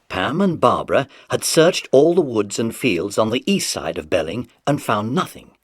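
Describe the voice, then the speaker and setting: A man narrating a children's story as a scripted audiobook, in an animated storytelling style. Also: medium pitch, slightly rough, dry recording, clean studio sound.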